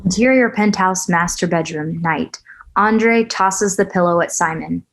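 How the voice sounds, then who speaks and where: A woman reading screenplay scene directions aloud at a table read, in an even, matter-of-fact way. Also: on the low side, measured pace. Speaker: stage-direction reader.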